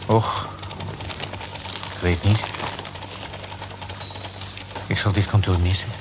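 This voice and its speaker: Modest male clerk, understated, evasive, radio play, loud background noise, muffled lo-fi sound. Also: low voice, steady tone, slow pace. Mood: reserved, evasive. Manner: understated, evasive, brief answers. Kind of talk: radio drama dialogue. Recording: very noisy, dull old radio recording.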